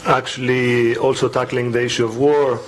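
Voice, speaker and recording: A man's voice: mid-range voice, flat delivery, moderate pace, a little hiss or hum, poor audio. Greek-accented man speaking on a panel. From Greece. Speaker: panelist, academic. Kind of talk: informal academic talk. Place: public panel event in a library.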